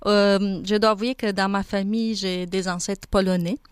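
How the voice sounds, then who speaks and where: A woman's voice: mid-range voice, level tone, smooth, no echo. Romanian lady in her 40s on a radio interview, hesitant with lots of euhs, dry close mic. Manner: measured, hesitant with fillers. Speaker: foundation director, guest.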